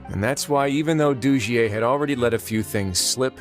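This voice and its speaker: A man playing a composed, wry duke in a video game, speaking in a measured, deliberate way. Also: mid-range voice, close to an everyday voice. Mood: pensive. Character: composed, wry male duke running an underwater prison. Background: faint game music.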